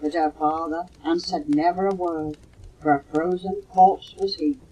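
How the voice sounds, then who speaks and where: An older woman with a husky voice, reciting poetry slowly and expressively on a low-fidelity home cassette recording. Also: steady tone, warm tone, low-quality recording. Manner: slow, measured, dramatic storytelling delivery. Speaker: mother reading poems for her children.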